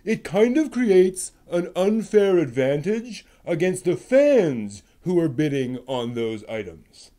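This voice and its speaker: Man putting on an aggrieved, slow-talking puppet fan. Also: on the high side, sing-song, mellow. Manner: slow, emphatic, aggrieved declaiming. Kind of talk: comic dramatic reading.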